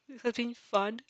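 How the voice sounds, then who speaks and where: A woman's voice: on the high side, muffled recording, very noisy. A woman casually reading fan fiction aloud and commenting as a reaction host, playful and exclamatory, easily breaking into giggles.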